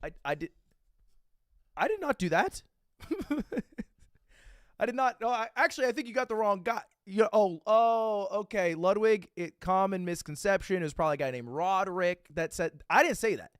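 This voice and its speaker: Young man in his twenties, fairly high voice, very lively pitch swings, dry close mic, excitable and profane storytelling. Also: moderate pace, no echo. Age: 20s. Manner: excitable, profane, acts out quotes. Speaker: streamer reacting to a video. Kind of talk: animated storytelling reaction.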